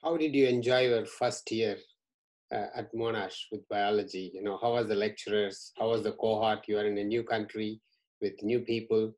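A middle-aged man interviewing a student over a video call from a small room at home, speaking in a measured way and often repeating words. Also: on the low side, steady tone, darkish tone, low-quality recording. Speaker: interviewer, university staff. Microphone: video call webcam.

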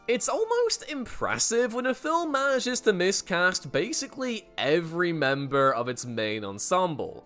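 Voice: mid-range voice, a bit bright. A presenter giving scripted countdown commentary in an informal, emphatic and opinionated manner. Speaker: presenter of a film list show.

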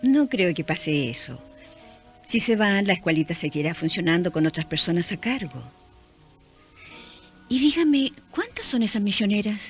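A kindly, modest shopkeeper mother in a radio drama. She talks at a middle pitch in a gentle, reassuring way, with faint music underneath. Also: very animated, moderate pace, long pauses. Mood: friendly.

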